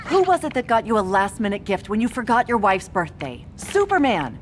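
An actress playing a feisty, determined woman reporter in a video game, arguing briskly. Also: medium pitch, big pitch swings, slight background noise, lightly acted. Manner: brisk, argumentative.

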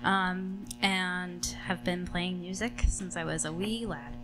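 A woman from Vermont who plays keys in a band, introducing herself calmly in an interview. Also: fairly low pitch, slow pace, over music. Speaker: band keyboardist. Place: college radio studio with band gear.

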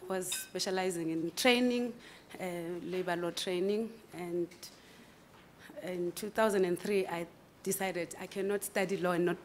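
A South African woman in her forties with a lowish voice, answering a panel in a measured, hesitant way. She speaks into a table microphone in a large formal conference room, with a faint hum of ambient noise behind her. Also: steady tone. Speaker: candidate advocate interviewed for judgeship.

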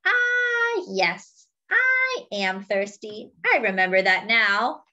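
A woman's voice: medium pitch, sing-song, takes it slowly, sharp tone, lo-fi sound, full character voice. A woman voicing a big, forgetful, gullible male elephant for a children's picture book, exclaiming and then hesitantly agreeing.

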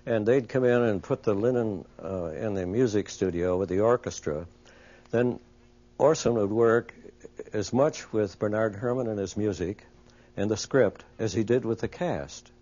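Lowish-voiced man reminiscing. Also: normal speed, mellow. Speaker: interviewee recalling colleagues. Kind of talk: conversational reminiscence. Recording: dull, muffled interview recording.

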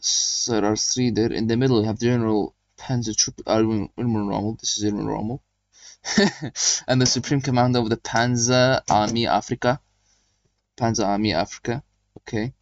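Low-voiced young man, lo-fi mic. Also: steady tone, moderate pace, no room sound. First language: not German. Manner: chatty, rambling, reading game briefings aloud. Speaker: gaming channel host. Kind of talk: casual game commentary.

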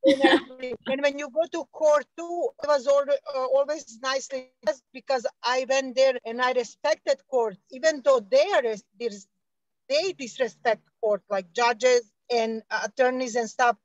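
A woman's voice: high voice, fairly even intonation, normal speed, dry recording, muffled recording. A woman calling in to a talk show, speaking hesitantly with many restarts. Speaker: caller. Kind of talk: casual call-in talk.

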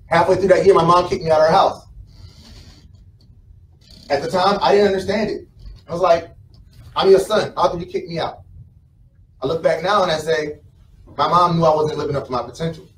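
An American man speaks to a live audience in an informal motivational style. His voice sits a little high, and he tells his story conversationally, repeating phrases for emphasis. The room echoes around him and there is faint noise behind his voice. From South Carolina, United States. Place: indoor conference room with a small stage. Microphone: camera mic from the audience, several meters away. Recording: roomy, distant mic, some background noise.

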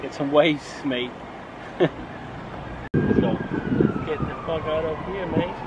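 A man's voice: a little high, slow pace, muffled recording, very noisy. Brash swearing young man vlogging. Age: young adult. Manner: brash, swearing, says "man" and "mate" a lot. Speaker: fitness vlogger. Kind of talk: casual vlog talk to camera. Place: car, gym and beach. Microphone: handheld camera at arm's length.

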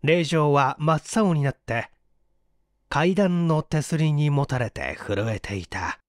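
A man's voice: fairly high pitch, expressive, slow pace, no room sound, studio quality. A man reading a mystery story as dramatic scripted narration. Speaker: audiobook reader, stage performer.

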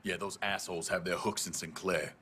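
A tough vigilante veteran in a game drama. He speaks very low, terse and dry, with determination, over noticeable background noise. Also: fairly even intonation, brisk, bright, forceful tone. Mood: dry, determined. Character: tough, laconic vigilante war veteran man. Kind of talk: video game cutscene acting.

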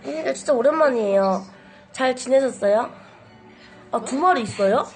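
High-voiced teenage woman with a cold, livestreaming. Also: lively intonation, moderate pace, faint music behind. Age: teens, says eighteen. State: has a cold. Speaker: livestream host. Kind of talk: casual livestream chat with viewers. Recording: noisy livestream microphone.

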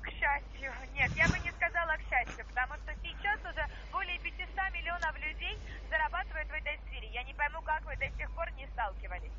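A woman giving an insistent sales pitch over the phone, peppering the listener with questions. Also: animated, fast talker, few pauses. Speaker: cold-call investment salesperson. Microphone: phone call. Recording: noisy muffled telephone line.

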